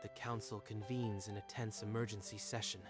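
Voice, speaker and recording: A man's voice: lowish voice, level tone, normal speed. Male narrator with dramatic delivery. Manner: dramatic storytelling. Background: faint music.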